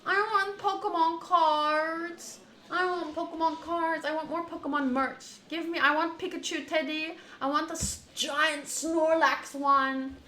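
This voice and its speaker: A woman's voice: high-pitched, steady tone, unhurried, bright, forceful tone, echoey room, slight background noise. A woman streamer giving casual, chatty playthrough commentary, reading out lines and adding playful asides. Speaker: game streamer.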